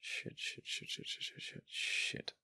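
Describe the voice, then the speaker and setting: A man voicing a flustered, affectionate young gamer boyfriend in a boyfriend ASMR roleplay, with a deep, gravelly, halting murmur. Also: sharp tone, no echo. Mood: panicked pleading.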